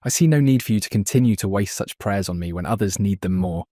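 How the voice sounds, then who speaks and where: A man's voice: fairly low pitch, quick pace, dark tone, no room sound, clean studio sound, natural voice. A man acting in an audio drama as a proud, stiffly formal brother. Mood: stiff, earnest.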